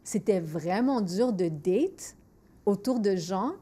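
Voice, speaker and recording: A woman's voice: medium pitch, takes it slowly, husky. A woman in her forties from Montreal with a Montreal French accent, hosting a podcast and telling candid stories while switching freely between French and English. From Montreal, Canada. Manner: lively, switches freely between French and English, repeats words when thinking. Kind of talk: candid conversational storytelling.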